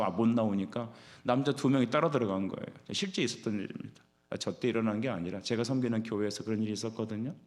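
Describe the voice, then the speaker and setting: A man's voice: medium pitch, a little mellow. A man preaching an expository sermon as a pastor, exhorting his listeners and often putting rhetorical questions to them.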